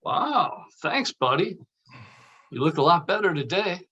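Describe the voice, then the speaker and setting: A man's voice: a little high, very animated, measured pace, bright, forceful tone, slightly rough, dull audio, full character voice. A man playing an older actor, a blunt, teasing but caring old friend, in a conversational table read. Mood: friendly. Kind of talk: casual table read of a comedy-drama script.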